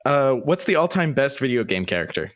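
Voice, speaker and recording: A man hosting a casual livestream interview, playful and quick to laugh. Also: mid-range voice, big pitch swings, muffled recording. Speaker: interview host.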